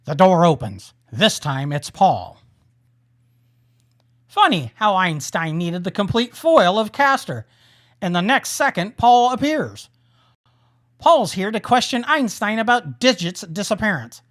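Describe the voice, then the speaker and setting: A woman narrating a scripted audio drama in a deliberate storytelling manner. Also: medium pitch, big pitch swings. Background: music.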